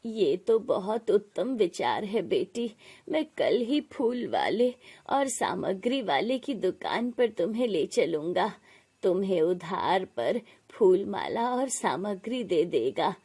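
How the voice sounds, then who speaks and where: A woman voicing a fatherly, benevolent male temple priest in an animated moral story, soothing and reassuring. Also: medium pitch, takes it slowly, breathy edge, heavily acted. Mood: encouraging.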